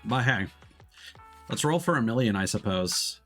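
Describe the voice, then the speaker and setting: Animated man streaming a video game. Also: on the low side, moderate pace. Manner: musing, talks to himself and the game. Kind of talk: casual live gameplay commentary. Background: game music.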